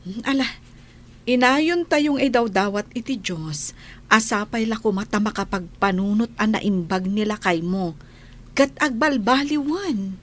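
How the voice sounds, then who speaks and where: A woman's voice: mid-range voice, a little slow, close to an everyday voice. A woman with an Ilocano accent acting an outspoken, devout village woman who scolds gossips in a radio comedy-drama, speaking in a preachy, emphatic way. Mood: consoling, concerned.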